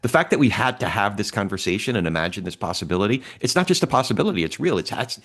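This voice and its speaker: A male podcast host leads a casual roundtable. His voice is very deep. He talks in a relaxed, halting way, often pausing with fillers and restarting his sentences.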